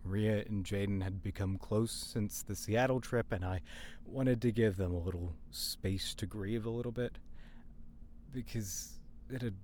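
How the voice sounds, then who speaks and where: Male actor as weary, low-pitched young man in a supernatural mystery audio drama; noisy recording. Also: measured pace, a little mellow, natural voice. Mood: somber, reflective. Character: weary young man narrating and searching for a missing girl. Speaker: narrator and character in supernatural mystery podcast. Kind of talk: audio drama acting with reflective narration.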